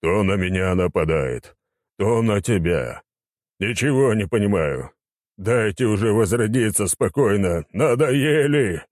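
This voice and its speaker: A man voicing a weary, pompous undead necromancer in an audiobook, with drawn-out, haughty grumbling. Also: lowish voice, animated, a little mellow, no room sound, clean studio sound, voice pushed up. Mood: irritated, weary.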